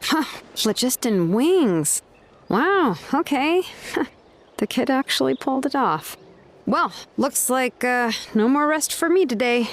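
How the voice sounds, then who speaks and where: A woman's voice: high voice, animated, slow pace. A woman playing a drowsy, laid-back young craftswoman in a video game, languid and dry. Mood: laid-back, musing.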